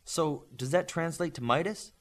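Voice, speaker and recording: A man's voice: fairly high pitch, normal speed, vocal fry, a bit bright, pitched above the performer's own voice. Man voicing an inquisitive, analytical superheroine. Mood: curious. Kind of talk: audiobook character dialogue.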